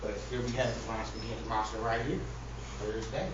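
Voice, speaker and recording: A man's voice: mid-range voice, steady tone, fairly quick. A man giving an informal, humorous speech to an audience in a mock-earnest, preacher-like way. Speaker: public speaker. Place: echoey indoor room with an audience. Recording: distant, very echoey, noisy, muffled room recording.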